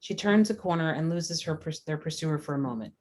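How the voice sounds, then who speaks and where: A woman's voice: sits low, animated, brisk, a little mellow. A woman reading scripted stage directions as narrator at a screenplay table read.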